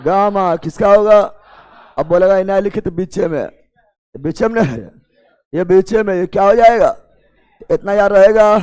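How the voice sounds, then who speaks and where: A man's voice: high-pitched, normal speed, long pauses, a little mellow, clear, resonant tone. A man with a Bihari accent teaching an exam coaching class, repeating key phrases as if dictating and quizzing his students in an informal way. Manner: informal, teasing address to students. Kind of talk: classroom lecture with drilled question and answer.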